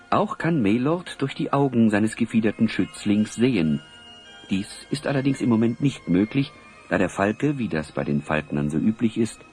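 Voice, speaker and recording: A man with a fairly low voice tells a scripted fantasy tale as narrator. His delivery moves expressively up and down, with some music underneath. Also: a little mellow. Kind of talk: scripted storybook narration for an audio play.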